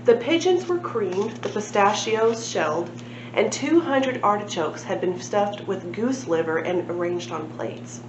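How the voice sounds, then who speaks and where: A woman's voice: medium pitch, expressive, a little slow. A woman reading a storybook aloud as a library reader. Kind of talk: storybook narration with casual asides. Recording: echoey room, dull sound, noticeable noise.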